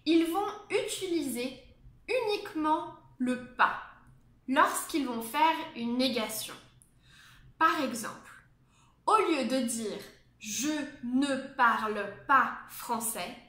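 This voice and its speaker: A woman teaching a beginners' French lesson, speaking slowly and clearly and adding English glosses. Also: high-pitched, pushed and bright. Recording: echoey room.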